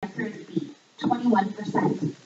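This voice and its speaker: A woman hosting a trivia game in a scripted voice-over, reading questions and answers in a measured, item-by-item way. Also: fairly even intonation, mellow. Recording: echoey, distant, muffled low-fidelity playback. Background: voice audio played back in the room while filming.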